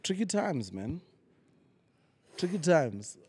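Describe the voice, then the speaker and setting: A man, a comedian guest chatting casually and giving strong opinions with animated, storytelling delivery. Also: on the high side, slow pace, slightly rough. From Zimbabwe. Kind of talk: casual conversational interview. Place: lounge venue.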